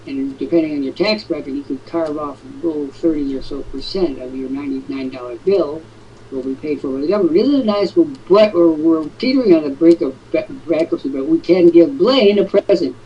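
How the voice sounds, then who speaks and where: A man with a raspy throat hosting an online business webinar, rambling and joking in a theatrical way. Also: on the high side, brisk. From New England. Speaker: webinar host. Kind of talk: casual webinar chat. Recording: dull lo-fi online audio with background noise.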